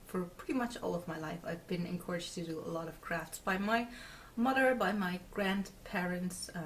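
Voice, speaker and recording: A woman's voice: fairly low pitch, a bit bright. Dutch girl in her 20s vlogging at home, lots of ums and giggles, mic a bit far off, faint hiss. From Netherlands. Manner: chatty, many filler words and giggles. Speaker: knitting podcast host. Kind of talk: casual chat to camera. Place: small room at home, indoors.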